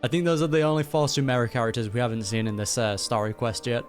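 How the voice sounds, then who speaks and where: A woman with a strikingly low voice reacts to a game as it plays. Her talk is casual, slangy and playful, with music faintly behind her. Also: steady tone, fast, a little mellow. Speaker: streamer reacting to a game story. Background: game music and dialogue.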